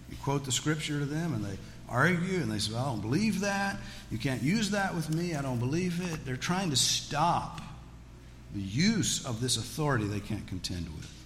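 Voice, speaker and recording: A man teaching scripture to an audience in a folksy, conversational way, with joking asides. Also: on the high side. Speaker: Bible teacher. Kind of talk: religious teaching with audience questions. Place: large echoey room with an audience. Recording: echoey hall with background noise.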